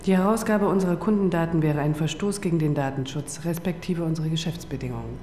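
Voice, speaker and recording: An actress in a radio crime drama playing the smooth, suggestive woman who manages a dating site. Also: sits low, quick pace, warm tone, a little hiss or hum, close to an everyday voice.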